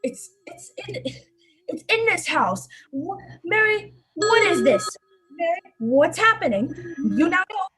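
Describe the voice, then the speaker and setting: An alarmed father from a radio play, acted by a woman, with a very high and forceful voice. The delivery is urgent and demanding, and it leaps up and down in pitch. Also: sing-song, a little slow, exaggerated voice, rough recording. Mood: frightened, exasperated. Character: Mr. Morris, alarmed and impatient father of a young girl.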